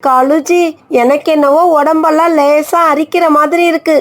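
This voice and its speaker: A woman's voice: sits high, steady tone, moderate pace, close to an everyday voice. A woman doing a pushy, scheming female crow. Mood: panicked. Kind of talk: animated cartoon voice acting.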